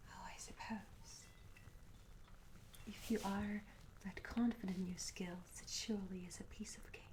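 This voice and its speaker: Slow, whispering tavern maid, acted by a woman. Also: medium pitch, fairly even intonation, natural voice, poor audio. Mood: polite, curious. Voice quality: soft, breathy whisper. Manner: gentle, hesitant, courteous, old-fashioned phrasing. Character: curious, polite, humble medieval tavern maid. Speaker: ASMR roleplay performer. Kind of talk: ASMR roleplay, soft-spoken whispering. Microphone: close ASMR microphone. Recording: close whisper over audible ambience noise. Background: candlelit tavern ambience.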